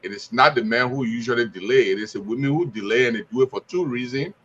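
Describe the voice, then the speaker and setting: A man's voice: medium pitch, fast, a bit bright, muffled recording, slight background noise. A man on a radio panel, confidently giving his opinions and telling stories with quoted dialogue. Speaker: studio guest panelist. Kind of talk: opinion talk.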